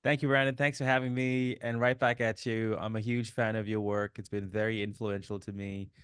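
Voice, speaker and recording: A man in his fifties, originally from Sri Lanka, telling his life story as an interview guest, with relaxed fillers. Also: on the low side, fairly quick, clear, resonant tone, no echo, clean studio sound. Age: 50s. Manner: warm storytelling, reflective, many fillers. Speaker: guest, author. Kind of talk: casual interview chat.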